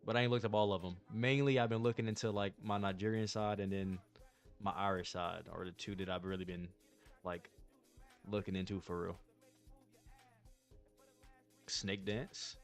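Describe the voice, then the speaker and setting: Low-voiced laid-back young man streaming. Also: steady tone, moderate pace, pauses often, faint music behind. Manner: laid-back slangy chatter to the chat. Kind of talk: casual livestream card-opening commentary.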